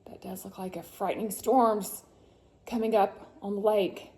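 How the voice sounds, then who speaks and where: A woman's voice: mid-range voice, fairly even intonation, unhurried, mellow, a little hiss or hum. A woman reads a picture book to her children, theatrical and suspenseful, with chatty asides. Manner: theatrical, suspenseful, often drawing out words. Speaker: mother reading a picture book to her sons. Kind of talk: dramatic storybook read-aloud with chatty asides.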